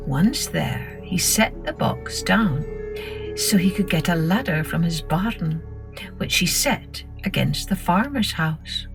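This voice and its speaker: Low-pitched woman telling a children's story. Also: lively intonation, slow, gravelly, music in the background, rough recording. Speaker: storytelling podcast host. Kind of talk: scripted children's story narration.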